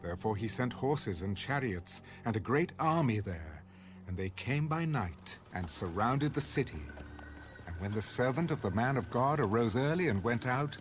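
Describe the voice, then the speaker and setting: An actor as a solemn storyteller, giving scripted dramatic narration of scripture. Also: male voice, mid-range voice, lightly acted. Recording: clear music under the voice.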